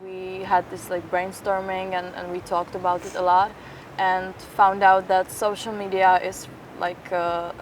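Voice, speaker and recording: A woman's voice: fairly low pitch, flat delivery, measured pace. A young woman in a casual interview, hesitating as she searches for her words. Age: young adult. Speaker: youth theatre project participant. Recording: distant mic, faint traffic-like noise.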